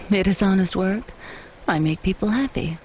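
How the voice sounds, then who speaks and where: A woman playing a brisk, self-assured dominatrix in a video game, formal, clipped and commanding. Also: mid-range voice, a little mellow, slight background noise, lightly acted. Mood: brisk, proud.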